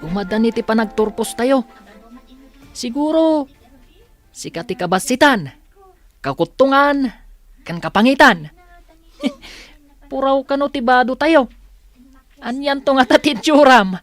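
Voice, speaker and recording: An actress with an Ilocano accent playing a mocking, teasing schoolchild in a radio drama. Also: female voice, high-pitched, animated, measured pace, pauses often, soft background music.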